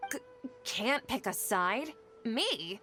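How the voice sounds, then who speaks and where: Anxious young female scholar, fairly high voice, earnest, easily flustered, anime game, faint music behind. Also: very animated, takes it slowly, pushed and bright, exaggerated voice. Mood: shocked, flustered. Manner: earnest, flustered, stammering under pressure. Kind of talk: anime video game voice acting.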